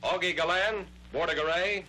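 A man's voice: sits high, moderate pace, bright, forceful tone. An American man narrating a scripted 1940s sports newsreel in a jaunty, wry, wisecracking manner. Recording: noisy vintage 1940s newsreel soundtrack.

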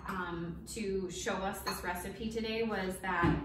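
A woman's voice: mid-range voice, steady tone, takes it slowly. A woman in her thirties co-hosting a cooking segment, explaining tips and asking the cook questions. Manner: friendly, explanatory, asks questions. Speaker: co-host. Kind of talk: casual cooking show chat. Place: home kitchen, indoors. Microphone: far camera mic. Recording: echoey kitchen, distant mic, noisy.

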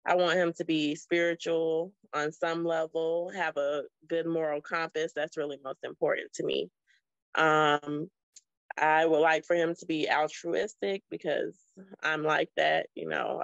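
A woman's voice: sits low, vocal fry, clean tone, no echo. A woman in her late thirties from South Florida, speaking on a video call. Her talk is friendly and reflective, with plenty of ums. The audio is dull and dry. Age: 30s. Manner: friendly, thoughtful, with frequent ums. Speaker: guest. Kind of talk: casual video call chat. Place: small room indoors.

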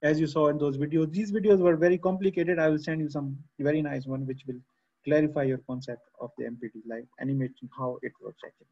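A man's voice: a little high, steady tone, fast talker, a little mellow, breathy edge. A man in his thirties giving an engineering lecture online, explaining patiently, repeating key terms and often saying okay, heard through a computer mic on a video call. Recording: dull lo-fi video-call audio.

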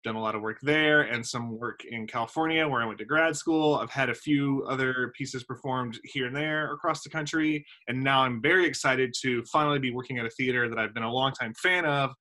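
A man's voice: mid-range voice, a little fast, few pauses, pushed and bright. A man in his thirties from South Carolina, a theater director chatting casually in a video-call interview, with frequent ums and a self-deprecating manner. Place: home room with windows. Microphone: video call laptop mic.

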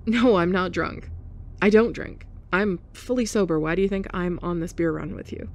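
A young woman in a scripted roleplay monologue, abrasive and sarcastic, with awkward soft laughs and sighs. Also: fairly low pitch, normal speed. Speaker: ASMR roleplay performer.

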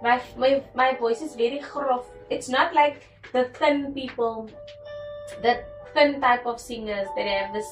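A young woman with a husky, hoarse voice, chatting unsurely as a singer in a bedroom studio. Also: on the high side, a little slow, faint music behind. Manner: unsure, self-doubting. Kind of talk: casual chat. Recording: echoey room, far from mic, keyboard behind.

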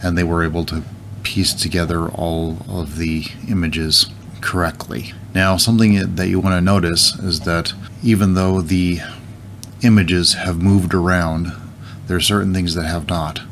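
A middle-aged man with a very low voice talks through a timeline at an unhurried pace, thinking aloud and correcting himself now and then. A faint hiss sits under the voice-over. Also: flat delivery, fairly bright tone. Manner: loose, thinking aloud with restarts. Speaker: channel host. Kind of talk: casual explainer over screen recording.

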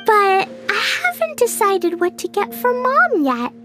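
A small girl in a fantasy game. Her voice is very high and animated, sweet and eager, with faint music behind it. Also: measured pace, full character voice. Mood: eager, pondering. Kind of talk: acted video game dialogue.

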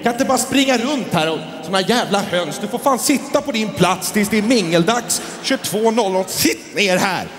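A man playing a fussy, uptight event host in a comedy sketch who orders guests around and bursts into shouting. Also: on the low side, lively intonation, close to an everyday voice. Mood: furious. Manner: prim and bossy, bursting into shouting. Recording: echoey hall with crowd and noise.

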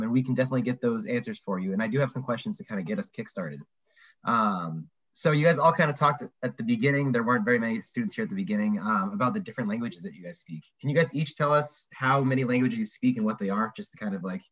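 Relaxed young man moderating, lo-fi. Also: mid-range voice. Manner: relaxed, filler-heavy. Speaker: panel moderator. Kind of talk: casual webinar moderation. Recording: dull lo-fi video call audio.

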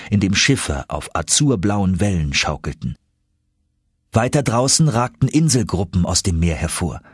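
A man's voice: fairly low pitch, slightly rough, no echo, clean studio sound. A man narrating an audiobook in a dramatic, scripted first-person storytelling style.

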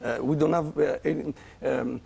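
A middle-aged man with a strong Italian accent and a husky, gravelly voice. He talks in halting, broken English, pausing often to find his words. He sits on a studio sofa as a guest chef. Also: fairly high pitch, steady tone, a little mellow. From Italy. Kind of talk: casual television interview. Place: morning television studio sofa.

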